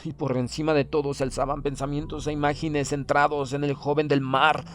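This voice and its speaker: Hombre que interpreta a un oficial prusiano solemne y condenado. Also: male voice, on the high side, flat delivery, a little fast, voice pushed up, close to an everyday voice. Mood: grave dread. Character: proud, doomed Prussian naval officer writing his last account. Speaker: audiobook reader. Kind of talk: dramatic horror audiobook narration.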